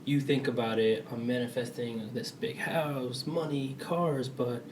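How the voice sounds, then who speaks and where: A man's voice: mid-range voice, fairly even intonation, unhurried, reverberant, distant mic, background noise. A young man on a casual podcast, explaining his views at length. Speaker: podcast co-host. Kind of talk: casual chat.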